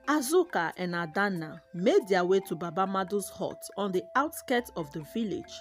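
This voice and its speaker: A woman narrates a scripted folktale in an expressive, dramatic manner, with background music. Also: mid-range voice. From Nigeria. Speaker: storyteller, narrator.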